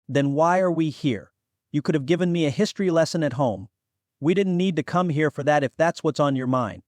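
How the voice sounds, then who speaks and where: A man's voice: fairly high pitch, expressive, a little fast, dry recording, clean studio sound, lightly acted. A man voicing an impatient, skeptical young demigod nephew in a fantasy audio drama, with a blunt, exasperated manner.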